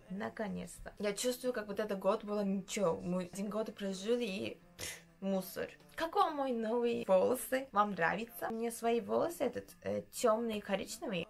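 A young woman from Uzbekistan with a Turkish-tinged accent in Russian, chatting casually while doing her makeup in her bedroom and often pausing to search for words. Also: medium pitch, creaky. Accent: Turkish-influenced Russian. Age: young adult. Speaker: beauty vlogger. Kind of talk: casual makeup vlog chat. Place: small bedroom indoors.

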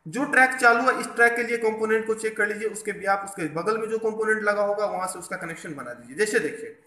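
A man's voice: high-pitched, fast, sharp tone. A man narrating a hands-on repair tutorial as an instructor, explaining step by step and mixing in English technical terms. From India. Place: indoors at a repair workbench. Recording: echoey room, faint background noise.

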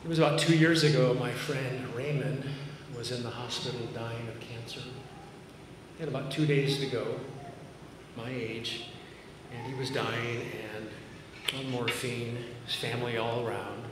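A man's voice: medium pitch, steady tone, a little slow. A man in his fifties with a Massachusetts accent, a pastor preaching earnestly and conversationally with dry self-deprecating humor, speaking from the stage of a large church auditorium. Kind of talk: sermon. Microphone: podium mic, filmed by a far camera. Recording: echoey hall from far off with noise.

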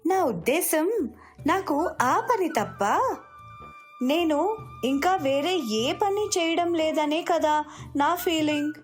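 A woman's voice: sits high, slow pace, long pauses, faint music behind. A woman voicing a frustrated, complaining housewife who longs to teach, in a moral story. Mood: frustrated, pleading. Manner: complaining, insistent. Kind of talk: moral story dialogue acting.

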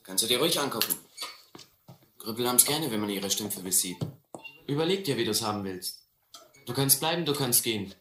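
A tough young drifter in a radio drama, streetwise and blunt. His pitch moves around a lot. The recording is echoey, with clear noise. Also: expressive, a little fast, pauses often, pushed and bright. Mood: cool, instructing. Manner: blunt, slangy. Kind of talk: German radio play acting. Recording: roomy, noisy old radio play.

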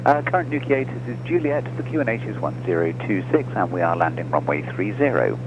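A man's voice: lowish voice, big pitch swings, fast talker. A man working as an air traffic controller, giving polite procedural instructions over aviation radio. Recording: muffled radio transmission with engine noise.

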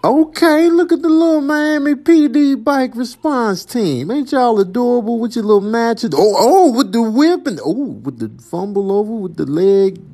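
A woman gives comic voiceover commentary in a mellow, fairly high voice. She teases with mock sweetness. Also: expressive, moderate pace, few pauses.

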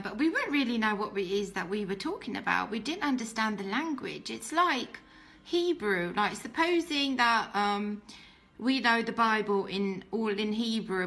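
Bright-voiced woman, earnest and halting. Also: medium pitch. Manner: halting, reflective, earnest, repeats phrases for emphasis. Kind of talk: personal testimony to camera, informal. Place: small room at home, indoors. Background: music.

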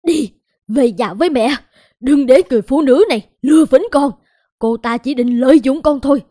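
A woman voicing a poor, anxious, protective mother in an animated moral tale, with a pleading, wounded delivery. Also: high-pitched, moderate pace, dry recording, studio quality. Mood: urgent.

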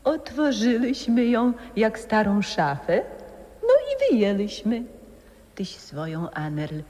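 A calm, uncanny old friend. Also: female voice, on the high side. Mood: solemn. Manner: calm, slow and solemn. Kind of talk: 1960s radio play acting. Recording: old radio play with long reverb.

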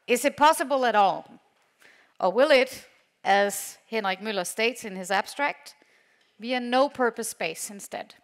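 A woman with a Danish accent, a native Danish speaker, chairing a session and making a measured stage introduction with pauses. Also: fairly high pitch. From Denmark. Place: large conference hall on a stage.

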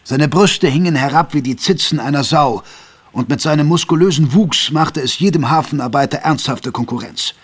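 A man's voice: fairly high pitch, moderate pace, husky. A man delivering scripted, dramatic narration for an audio drama. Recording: music bed under narration.

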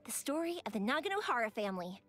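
A high, girlish video game heroine, a cheerful young fireworks maker. Her delivery swings widely in pitch, chatty and expressive, like someone eagerly sharing stories with a friend. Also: fast talker. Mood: storytelling. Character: cheerful, warm-hearted young woman fireworks maker, lively storyteller. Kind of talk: video game character voice lines, conversational storytelling.

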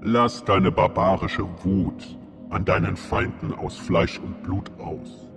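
A man putting on a grave ancient sage spirit. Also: deep voice. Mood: solemn. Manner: solemn, measured, prophetic. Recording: music bed under the voice.

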